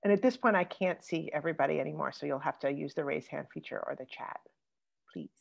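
A woman moderating an online group discussion over a video call, speaking thoughtfully with many pauses and fillers. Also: deep voice, vocal fry, dull audio. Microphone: computer mic on a video call.

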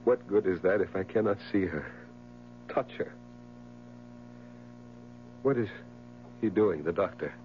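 An actor playing a grief-stricken, haunted husband in a theatrical, impassioned 1970s radio drama style. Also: male voice, low voice, measured pace, pauses often. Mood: grieving. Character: anguished, grief-stricken husband haunted by his dead wife. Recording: muffled old radio recording, mains hum.

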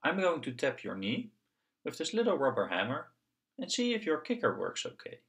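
A man voicing a friendly puppet doctor in a children's storybook, gentle and encouraging with a singsong delivery. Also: fairly high pitch, wildly swooping pitch, moderate pace, pitched above the performer's own voice, exaggerated voice. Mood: instructing.

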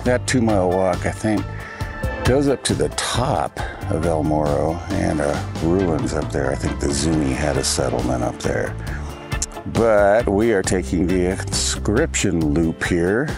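An older man with a raspy voice narrating a hike outdoors for his travel vlog, low-key and rambling as he thinks aloud. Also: measured pace. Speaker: travel vlogger. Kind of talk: casual hiking narration. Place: outdoors on a desert trail. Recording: music bed under the voice.

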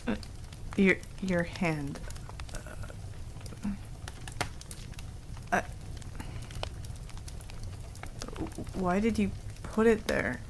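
A woman's voice: medium pitch, a little slow, natural voice. Woman acting a prickly werewolf girl, noisy background. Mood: flustered, defensive. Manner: casual, defensive, stammering when embarrassed, swears. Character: prickly, easily flustered tsundere female werewolf. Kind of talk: intimate ASMR roleplay acting. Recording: clearly audible background noise.

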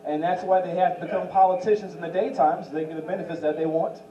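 High-voiced young man doing club stand-up. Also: fairly even intonation, fast, highs cut off. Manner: does impressions of famous voices. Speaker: stand-up comedian. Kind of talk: stand-up set at an open mic. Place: small comedy club stage. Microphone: handheld mic. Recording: roomy club audio from a far camera. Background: audience laughter.

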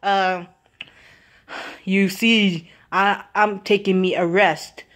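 A woman's voice: mid-range voice, fairly even intonation, drawn-out words. A woman voicing a lazy male dinosaur toy who mumbles sluggishly.

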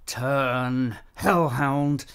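An actor playing a fierce, grieving, vengeful warrior in a classical radio drama, declaiming impassioned verse. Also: male voice, mid-range voice, very slow, a bit bright, clear, resonant tone. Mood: furious, scornful.

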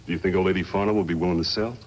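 A male actor plays a rough mine foreman and hired gun in a 1950s radio western. He talks fast in a lowish voice, blunt and sure of himself. The vintage recording carries light noise. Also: steady tone, natural voice. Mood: questioning, impressed.

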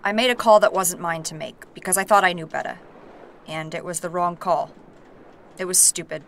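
Blunt, guarded fantasy noblewoman: lower voice, short plain sentences. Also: normal speed, vocal fry, a bit bright, slight background noise. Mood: contrite. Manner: terse, blunt. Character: proud, guarded, blunt noblewoman, emotionally wounded.

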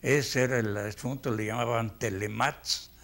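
A man's voice: medium pitch, steady tone, fairly bright tone. A man in his eighties with a Mexican accent, reminiscing reflectively in an interview, often searching for words. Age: 80s. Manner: halting, word-searching, reflective. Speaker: veteran animator being interviewed.

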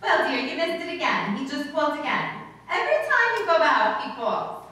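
A woman's voice: high voice, very animated, a little fast, sharp tone, heavily acted. A woman playing a scatterbrained, trusting, chatty wife in an old-time radio comedy, earnest and muddling her words. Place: stage in a meeting hall before an audience. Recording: echoey hall, far from microphone.

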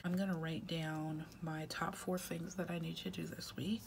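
A woman's voice: deep voice, little pitch movement, moderate pace, gravelly, slight background noise. A woman with a head cold, congested, chatting casually and often half-whispering as she fills out her weekly planner at home. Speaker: planner vlogger. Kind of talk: casual chat while planning.

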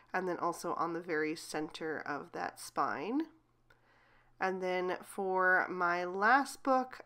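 Slightly hoarse woman, low calm explainer. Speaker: craft channel host. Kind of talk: card-making coloring tutorial voice-over.

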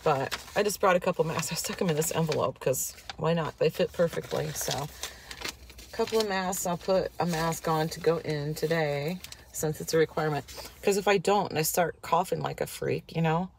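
A woman's voice: low-pitched, moderate pace, gravelly. A woman in her fifties chatting casually to her camera from inside a car, rambling and laughing often, a little stuffy from a cold. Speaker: vlogger. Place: inside a parked and moving car. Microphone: phone camera on the dashboard. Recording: car noise audible behind voice.

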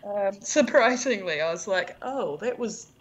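A woman's voice: medium pitch, expressive, unhurried, highs cut off, a crowd in the background. A middle-aged woman with a New Zealand accent, chatting casually and telling anecdotes as an interview guest. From rural New Zealand. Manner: chatty, anecdotal, quotes conversations. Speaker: podcast guest, film producer and prop maker.

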